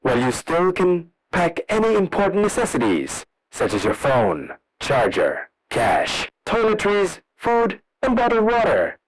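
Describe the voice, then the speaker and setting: A man's voice: high-pitched, wildly swooping pitch, a little slow, hardly any pauses, noisy background. A man delivering a scripted emergency broadcast as a formal alert announcer.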